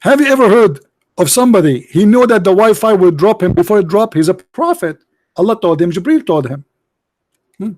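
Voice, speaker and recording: Male debate host, fairly high voice, dry close sound, mocking and combative, broken non-native English. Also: sing-song, darkish tone, no echo. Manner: mocking, combative, rapid-fire questions. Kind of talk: confrontational live debate.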